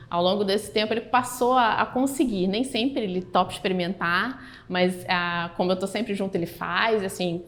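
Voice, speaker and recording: A woman's voice: medium pitch, a little hiss or hum. A woman with a Brazilian accent giving a spontaneous interview testimonial, explaining hesitantly and searching for words.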